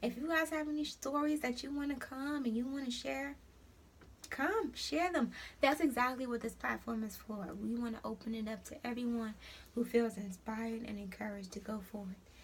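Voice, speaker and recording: A young woman with a New York accent speaks in a slightly high, gravelly voice. She gives encouragement the way a preacher would and calls her listeners honey. A faint hiss of noise sits under the recording. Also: moderate pace. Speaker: host of an encouragement site. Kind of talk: casual motivational vlog.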